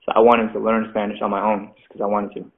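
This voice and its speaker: A man's voice: lowish voice, completely flat delivery, fast. Chatty young man on a tinny call. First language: Mandarin. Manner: chatty, says "like" constantly, self-deprecating laughs. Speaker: interview guest, rapper. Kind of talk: casual interview chat. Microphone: video call. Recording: phone-like video call audio.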